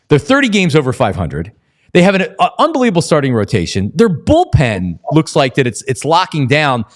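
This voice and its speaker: A man hosting a casual sports talk interview, talking in wordy, rambling questions with false starts. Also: mid-range voice. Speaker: sports show host.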